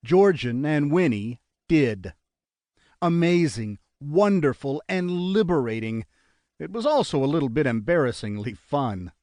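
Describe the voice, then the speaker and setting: A man reads an audiobook in an earnest, measured way. His voice sits a little high for a man. The sound is close and dry, like a studio booth. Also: no echo. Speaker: audiobook narrator. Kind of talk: scripted narration.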